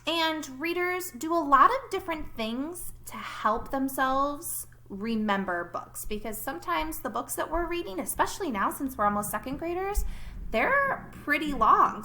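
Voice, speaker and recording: A woman teacher giving a read-aloud lesson to young children, expressive and instructive, thinking aloud as she goes. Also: high voice. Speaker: primary school teacher.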